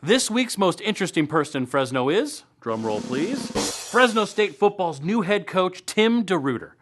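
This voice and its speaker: A man's voice: high voice, sing-song, normal speed, noisy background. A man hosting a local web series, reading upbeat scripted news and event segments in a playful, tongue-in-cheek way.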